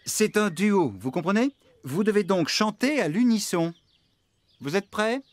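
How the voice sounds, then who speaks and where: An actor playing a cheerful, eager farmhand and amateur bandleader in a children's cartoon, speaking in an animated, exclamatory way. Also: male voice, high-pitched, a little slow, no room sound. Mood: coaching.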